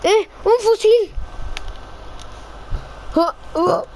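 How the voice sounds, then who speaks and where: Young woman from gaming, high excitable voice, bit of background noise. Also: very slow. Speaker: gamer. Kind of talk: casual gameplay role-play chat.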